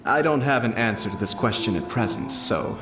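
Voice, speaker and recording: An actor playing a sly, composed man who speaks in a measured, formal, faintly amused way. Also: fairly low pitch, sing-song, a bit bright. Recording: roomy game voice-over with music.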